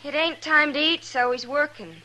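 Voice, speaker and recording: An actress playing a plain-spoken young rancher's daughter in an old radio western, rustic and matter-of-fact. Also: female voice, high voice, fairly even intonation, normal speed, natural voice. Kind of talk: 1950s radio western acting. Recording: noisy vintage radio recording.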